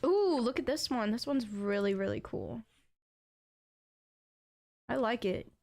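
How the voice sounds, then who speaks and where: A young adult woman with an accent, chatting casually as a game streamer and bursting into excited exclamations. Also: fairly high pitch, slow pace. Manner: chatty, bursts into excited "ooh"s over items. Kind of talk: casual live-stream chat.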